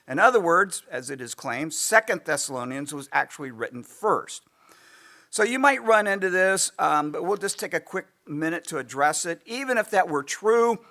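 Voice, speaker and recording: A pastor teaching a sermon to his church, his voice going hoarse, clearing his throat often and dropping in self-deprecating asides. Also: a little high, sharp tone.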